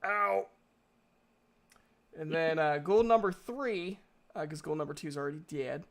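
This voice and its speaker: Slow-talking man running a tabletop game. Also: a little high, gravelly. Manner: halting, informal, slangy. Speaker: game master. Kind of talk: casual tabletop game narration.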